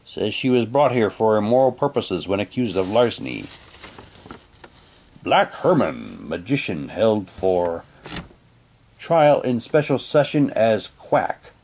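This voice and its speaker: Low-voiced man, casual read-aloud commentary. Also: big pitch swings, takes it slowly, darkish tone. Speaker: unboxing presenter.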